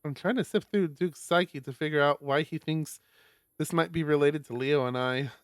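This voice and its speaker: A man's voice: a little high, normal speed, clean tone, no room sound, studio quality. A man reading a visual novel aloud on a stream, expressively, with casual sweary asides.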